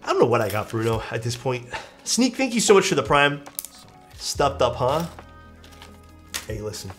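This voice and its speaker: A man's voice: medium pitch, big pitch swings, moderate pace, a bit bright, faint music behind. A young man with a stuffed-up, nasal voice, chatting casually on a live stream while he builds a keyboard and reads out chat. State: stuffed-up nose.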